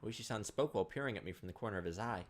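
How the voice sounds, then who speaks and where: A man's voice: on the low side, expressive, rapid-fire, a little hiss or hum. A man hosting a let's play, reading visual novel narration aloud with casual commentary. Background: game music.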